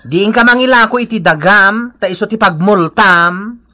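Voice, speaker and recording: A woman's voice: mid-range voice, moderate pace, lightly acted. A woman with an Ilocano accent playing a blunt village neighbour who scolds and teases in a radio comedy drama. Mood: mocking, incredulous.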